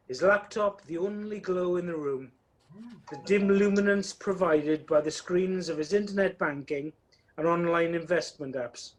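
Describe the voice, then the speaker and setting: A man narrating a scripted radio play in a wry, measured storytelling manner. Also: high-pitched, low-quality recording.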